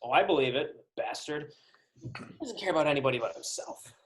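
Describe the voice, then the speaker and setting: A performer acts out a resentful, disgruntled member of a TV space crew. The delivery is animated, with lively swings in pitch, as part of a comedy table read. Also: brisk. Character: disgruntled, resentful television actor of a sci-fi crew. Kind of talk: amateur table read of a film comedy script.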